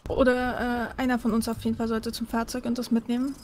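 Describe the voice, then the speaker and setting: A woman's voice: on the high side, completely flat delivery, fast talker, darkish tone, a little hiss or hum. A young woman chatting casually through a co-op video game, hesitantly thinking aloud as she goes. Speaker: let's player. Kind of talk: casual co-op gaming chat.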